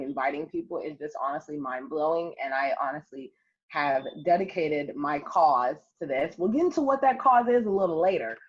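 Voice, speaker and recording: A woman's voice: deep voice, slightly rough, dull audio. An American woman with Igbo as her first language, an enthusiastic guest telling her story in a casual interview. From United States, Maryland.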